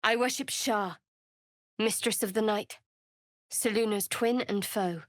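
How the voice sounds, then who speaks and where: A woman voicing a guarded, sardonic young cleric of a dark goddess in a fantasy video game, with a dry, wry, measured delivery. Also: medium pitch, no echo, lightly acted. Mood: solemn, devout.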